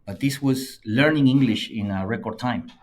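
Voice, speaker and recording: A middle-aged man with a Peruvian Spanish accent, a native Spanish speaker, recounting his past as a podcast guest. He talks in a lively, anecdotal way at a medium pitch. His stories are full of repeated phrases for emphasis. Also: moderate pace. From Peru. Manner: lively anecdotal telling, acts out quoted friends in a higher voice. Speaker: podcast guest, company CEO. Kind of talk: conversational storytelling interview.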